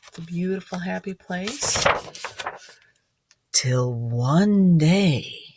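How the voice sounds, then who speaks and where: A woman's voice: on the low side, expressive, extremely slow, very noisy. A middle-aged woman, a teacher reading a picture book aloud to children and adding chatty, questioning asides. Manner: asks listeners frequent gentle questions, child-directed.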